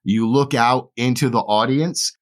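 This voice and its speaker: A man acting as game master, explaining game rules quickly and plainly. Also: mid-range voice.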